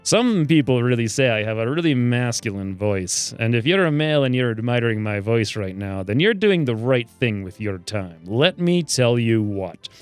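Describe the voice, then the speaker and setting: A young man casually commenting while he plays a game, excitable and rambling, with self-mocking asides, speaking close to a desk mic. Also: mid-range voice, normal speed, few pauses, clear, resonant tone, faint music behind. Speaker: gaming video host. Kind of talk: casual let's play commentary.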